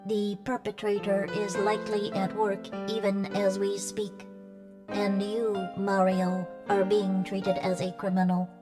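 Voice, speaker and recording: A helpful robot from a video game voiced by a woman explains things in a formal, analytical way. The delivery is precise and flat in pitch, with music clearly playing behind. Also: measured pace, close to an everyday voice. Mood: measured, concerned. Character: polite, analytical talking water-pump robot helper. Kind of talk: video game cutscene acting.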